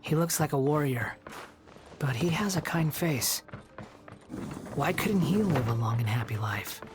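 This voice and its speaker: A woman voicing a gentle, brooding young male wanderer in a dramatic video game scene, with an earnest delivery. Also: sits low, lively intonation, measured pace, background noise. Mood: wistful.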